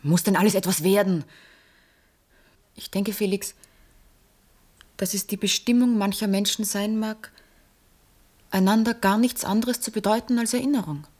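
A woman's voice: mid-range voice, moderate pace, pauses often, a little mellow, natural voice. An actress playing a fatalistic young lady in a German radio drama, speaking in a cool, self-possessed manner. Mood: fatalistic, detached. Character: detached, fatalistic young lady, daughter of the house.

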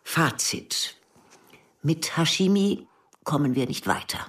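An actress playing a motherly, educated intelligence chief in her early sixties in a radio drama, speaking in a measured, deliberate way. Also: low voice, lively intonation, distant mic. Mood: controlled, matter-of-fact. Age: older. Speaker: actor in radio play. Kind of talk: German radio drama dialogue.

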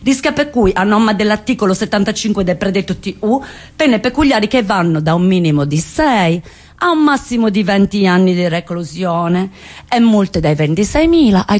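A man's voice: sits high, animated, normal speed. A woman playing an unfriendly, scornful male police officer on stage, reeling off figures in an officious manner. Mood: bureaucratic drone.

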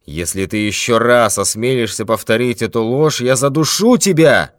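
A man voicing a brutal, mocking red-haired robber who shouts threats in a raised, forceful voice. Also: fairly high pitch, lively intonation, a little slow, no room sound, studio quality, pitched above the performer's own voice. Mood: threatening.

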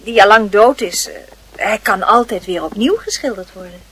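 An actress playing a kindly, patient aunt in a Dutch radio play, explaining things simply in a slightly husky voice. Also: female voice, mid-range voice, lively intonation, normal speed, a bit bright. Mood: patient, reassuring. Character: kindly, reassuring adult aunt talking to a child.

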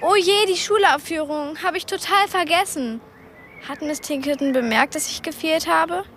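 A woman's voice: very high-pitched, moderate pace, a bit bright, slight background noise. An actress playing an earnest, curious twelve-year-old girl in a radio play, a little hesitant in manner. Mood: apologetic.

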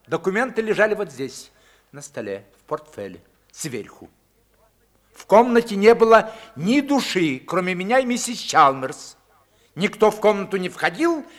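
A man's voice: sits high, fairly bright tone. An actor playing an imperious older senator and father in a radio drama, speaking in a commanding, stern way. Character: imperious wealthy senator and father, accusing his own daughter. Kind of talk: Russian radio drama acting.

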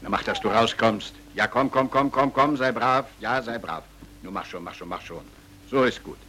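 An actor playing a curt, formal doctor in an old German radio play, with a brisk manner. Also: male voice, medium pitch, sharp tone, close to an everyday voice. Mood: shooing, coaxing. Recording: faintly noisy old radio drama recording.

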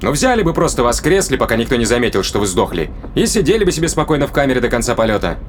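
A man's voice: medium pitch, big pitch swings, racing, a little hiss or hum, close to an everyday voice. An actor playing a skeptical, rational man who interrogates in a matter-of-fact, questioning way in an audio drama.

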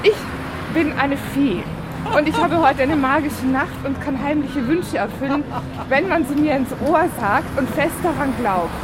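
A woman's voice: high-pitched, unhurried. A woman chatting unscripted with passers-by as a street interviewer, out on a busy city street at night. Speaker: street interviewer playing a wish-granting fairy. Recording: noisy street location recording with crowd. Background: traffic and crowd.

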